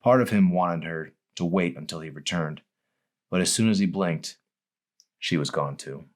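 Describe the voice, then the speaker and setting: A man reading his own novel as a dramatic audiobook, in a grave, deliberate storytelling manner. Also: low voice.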